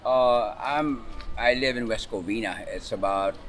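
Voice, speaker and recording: A man's voice: mid-range voice, measured pace, fairly bright tone. A middle-aged man from the Philippines, talking loosely with lots of fillers as he is interviewed outdoors in a city. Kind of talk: casual street interview. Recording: outdoor street recording with traffic. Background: traffic noise.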